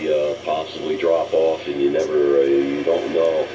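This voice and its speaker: A man's voice: extremely low pitch, flat delivery, moderate pace. A middle-aged or older American man chatting casually and hesitantly as an amateur radio operator, heard through an AM radio transmission. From United States. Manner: rambling, hesitant, reminiscing. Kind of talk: casual ham radio chat. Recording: muffled, noisy AM shortwave radio signal. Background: radio static noise.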